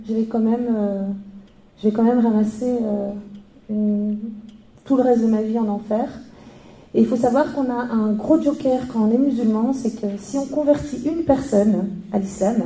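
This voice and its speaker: A woman's voice: mid-range voice, dark tone. A young woman from Belgium giving a personal testimony, hesitant with many fillers and a wry humor. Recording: roomy echo.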